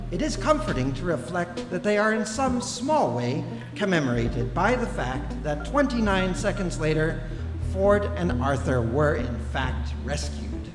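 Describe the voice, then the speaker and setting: A man playing a wry talking guidebook that narrates a live comedy play with emphatic storytelling. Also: sits high. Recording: echoey hall, music behind.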